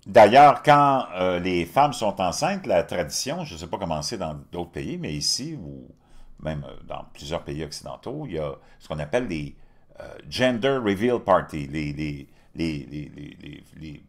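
Male host, 50s, Quebec accent; deep voice with lively swings, indignant commentator. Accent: Quebec French. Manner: animated, indignant, repeats words, frequent pitch jumps. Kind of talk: casual opinion commentary. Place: home studio with virtual backdrop.

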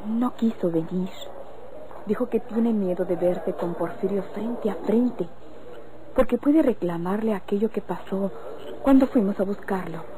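A woman's voice: medium pitch, a little slow, lightly acted. A woman acting a coy, polite young country woman with a Mexican accent in a golden-age radio drama. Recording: faintly noisy old radio recording.

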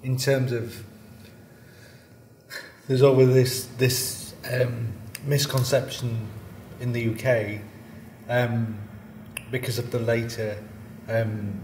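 A man speaking in a flat, even tone, weighing his words with frequent pauses. The room around him is roomy and echoing, with faint ambient noise. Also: mid-range voice. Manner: deliberate, pauses with ums. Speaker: theatre director. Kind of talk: panel discussion. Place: large rehearsal room indoors.

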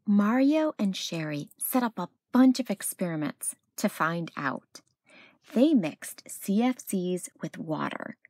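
A woman narrating a children's picture book as a read-aloud storyteller, with expressive delivery. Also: mid-range voice, slow, dry recording, studio quality.

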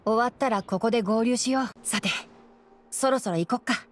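Woman as a young fox-eared boy ranger, studious, calm, measured, articulate, game voice acting, background rumble. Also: close to an everyday voice. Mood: calm, friendly. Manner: measured, articulate, gently admonishing. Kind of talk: Japanese video game voice acting.

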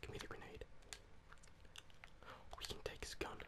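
A young man whispering casual, sweary ASMR gaming commentary to his viewers, close to the microphone. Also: moderate pace. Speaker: gaming streamer.

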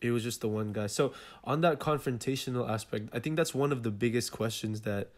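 A man's voice: mid-range voice, brisk. A man hosting an interview over a video call, asking his questions in a searching, hesitant way. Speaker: interview host. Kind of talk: casual interviewing.

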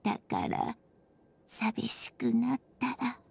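Tender, slow-spoken high-voiced mother. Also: very animated, creaky, exaggerated voice. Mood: tender, wistful. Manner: soft, soothing, slow, breathing into near-whispers. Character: tender, selfless mother creator, gentle and wistful. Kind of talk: scripted game voice acting, storybook-like.

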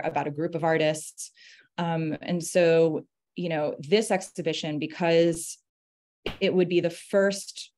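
A woman in her thirties speaking as a curator in a panel discussion, articulate and explanatory, often checking in with "right?". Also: low voice. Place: indoor room on a video call.

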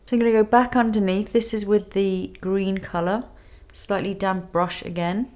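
A woman in her thirties with a cold and a nasal voice, calmly talking through a makeup tutorial step by step in a studio. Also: mid-range voice, normal speed. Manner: calm step-by-step instruction while applying makeup. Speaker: makeup artist. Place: indoor studio with plain grey backdrop.

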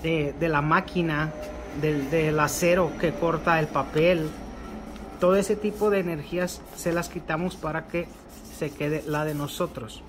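A man with a Mexican accent giving a spoken tutorial on a ritual, unhurried and repetitive, ending phrases with a questioning "ok", recorded indoors at a table with a camera pointed at his hands. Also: on the high side, fairly even intonation, background noise. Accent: Mexican Spanish. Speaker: occult ritual instructor. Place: indoors at a table covered with candles and ritual objects.

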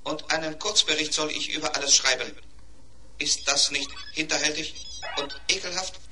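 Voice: medium pitch, steady tone, moderate pace, pushed and bright, slight background noise. An actor playing a polite, earnest schoolboy robot in a 1970s German children's radio play, speaking precisely. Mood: eager.

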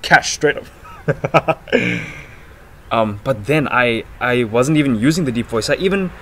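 A man in his twenties chatting casually and excitably in slangy, rambling talk, like a vlogger. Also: medium pitch, moderate pace. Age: 20s. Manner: excitable, slangy, swearing, breaks into giggles. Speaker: self-improvement vlogger. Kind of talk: casual chat, rambling monologue. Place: outdoors on a house porch. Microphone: laptop built-in microphone at arm's length. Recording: noisy laptop microphone outdoors.

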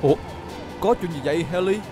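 A man's voice: on the high side, quick pace, music in the background, close to an everyday voice. A man voicing a busy, stubborn dump truck in a children's cartoon, with a southern Vietnamese accent and an exclamatory delivery. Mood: puzzled, dismissive.

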